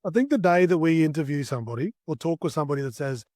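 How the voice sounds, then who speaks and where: Man, podcast co-host, rapid pace, casual banter, teasing, dry close recording. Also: a little high, animated, darkish tone, dry recording. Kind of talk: casual chat.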